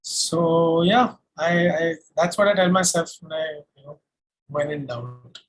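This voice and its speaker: A man's voice: a little high, unhurried, low-quality recording. A man with an Indian accent, a singer-songwriter giving earnest, emphatic, articulate answers in a conversational interview.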